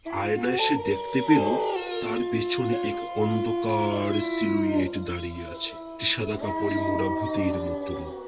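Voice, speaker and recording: A man's voice: fairly low pitch, wildly swooping pitch, moderate pace. A man narrating a scripted horror story in a theatrical, suspenseful way. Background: loud music.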